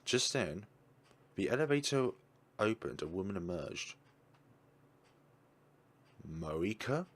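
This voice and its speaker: Deep-voiced man narrating a visual novel aloud, tense and anxious. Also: sing-song, slow, long pauses.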